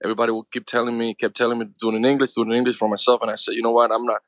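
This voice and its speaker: A quick-talking man around forty with a Cuban accent, his first language Spanish. He talks with earnest conviction, dropping "man" and "you know" constantly, and the sound is close and dry with no room around it. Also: medium pitch, little pitch movement, no echo. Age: 40s. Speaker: actor and filmmaker guest. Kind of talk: casual interview talk.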